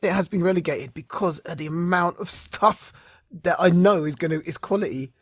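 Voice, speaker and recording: A man's voice: high-pitched, moderate pace, husky, no echo, clean studio sound. A man co-hosting a podcast, talking with enthusiasm and tripping over his words. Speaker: podcast co-host. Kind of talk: casual chat.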